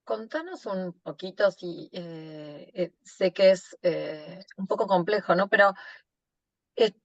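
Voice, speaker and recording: A woman with a lowish voice asks a guest long, hesitant, rambling questions at a very slow pace. The recording sounds dull, lo-fi and dry. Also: expressive, dry recording. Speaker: podcast host. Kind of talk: interview questions.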